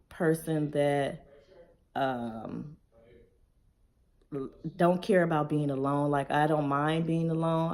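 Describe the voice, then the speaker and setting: A woman in her forties with a notably low voice talks through a get-to-know-me tag at home. She reads each question aloud, then answers in a relaxed, chatty way. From Chicago, Illinois. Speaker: vlogger. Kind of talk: casual Q&A tag video.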